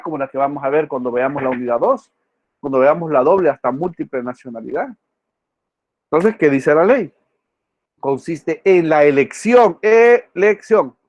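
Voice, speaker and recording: A man's voice: on the high side, a little mellow, no echo. Male law lecturer, repeats key terms, muffled lo-fi recording. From El Salvador. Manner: quizzes students by name, repeats key words. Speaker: law professor. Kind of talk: online class lecture.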